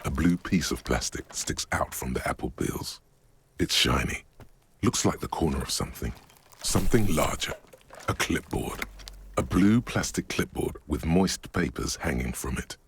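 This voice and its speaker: An actor narrating a detective game in a brooding, deliberate, literary manner with a very deep voice. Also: male voice, animated, a bit bright, a little hiss or hum, full character voice. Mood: brooding, measured. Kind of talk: scripted second-person narration.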